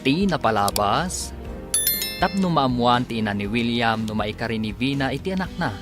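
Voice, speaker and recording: A man's voice: medium pitch, lively intonation, normal speed. A man with an Ilocano accent announcing and narrating a radio serial in a dramatic, emphatic way. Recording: music bed under the voice.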